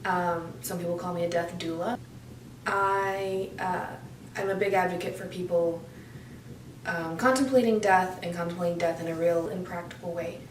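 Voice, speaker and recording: Female expert interviewee, earnest and measured, heard a bit off-mic with slight background noise. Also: on the low side, steady tone. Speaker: death advocate and consultant. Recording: echoey, distant mic, faint noise.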